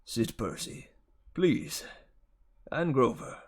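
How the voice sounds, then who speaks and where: A man reading a children's fantasy book aloud, voicing a weary old centaur mentor in a measured, solemn, stern manner. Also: mid-range voice, animated, a little mellow, slightly rough. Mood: weary gentle. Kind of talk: dramatic children's audiobook reading.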